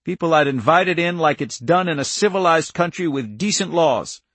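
A man's voice: fairly high pitch, animated, normal speed, fairly bright tone, no room sound, pitched above the performer's own voice. A man voicing a wounded older farmer in an audiobook story, plainspoken, stern and stubborn, with folksy country talk. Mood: indignant. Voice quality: breathless.

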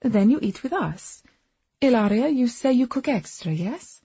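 A woman voicing a proud, softly steely young wife and hostess in an audiobook, with a soft Eastern European accent. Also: a little high, lively intonation, a little slow, husky, no echo, lo-fi sound, pitched above the performer's own voice, heavily acted. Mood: insistent.

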